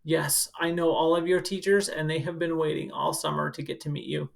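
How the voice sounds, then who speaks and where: Female school counselor, lowish pitch with heavy creak, reading a children's book with chatty explanations. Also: fairly even intonation, fairly quick. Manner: instructive, speaking directly to children. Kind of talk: picture book read-aloud with informal asides to children.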